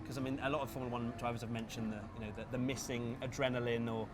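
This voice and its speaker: A man's voice: mid-range voice, little pitch movement, rapid-fire, music in the background. A man hosting a casual interview while chatting beside a racing game. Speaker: interviewer and host. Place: racing simulator at a busy gaming event. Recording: clear vehicle and ambient background noise.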